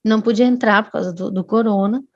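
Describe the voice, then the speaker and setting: A woman's voice: medium pitch, steady tone, fast talker, no echo, dull audio. A woman, a guest telling a long personal story in casual chat, often restarting and adding tag questions. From Brazil.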